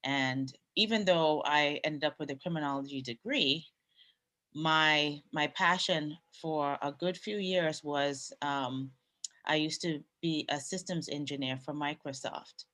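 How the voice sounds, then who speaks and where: A woman who grew up in Canada, a nurse and business owner, telling her story in a relaxed way with pauses and fillers. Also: low-pitched. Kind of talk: casual interview storytelling.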